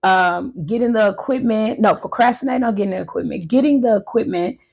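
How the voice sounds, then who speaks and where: A woman in her thirties chatting casually and a bit nervously to her viewers, her voice hoarse from recently losing it. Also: medium pitch, a little fast, a little mellow. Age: 30s. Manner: chatty, nervous, talks straight to viewers as "y'all". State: voice recovering from loss, hoarse. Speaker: vlogger. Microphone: handheld wireless mic.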